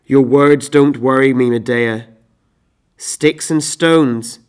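A man performs an exasperated husband from a classical tragedy. He scolds with loud, scornful force, lifting his voice above everyday talk in a theatrical monologue. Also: mid-range voice, fairly even intonation, takes it slowly, clean tone, lightly acted. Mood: dismissive, angry. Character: exasperated, scornful husband Jason berating Medea.

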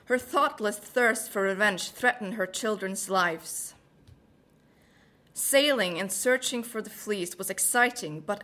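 A woman's voice: mid-range voice, fairly bright tone. A young woman from Norway, a Norwegian native speaker, reading a passage and chatting casually in melodic, hesitant English with a Norwegian accent. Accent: Norwegian, American-leaning English. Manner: melodic, hesitant, many fillers. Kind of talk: read passage and casual talk.

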